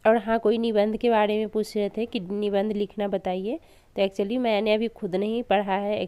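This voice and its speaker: A young woman speaks hoarsely through a sore throat, offering earnest, encouraging advice on studying. She talks at a steady mid pitch, with frequent restarts, and the recording is close and dry with no room sound. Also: dry recording. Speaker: vlogger studying for exams. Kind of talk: casual motivational talk to camera.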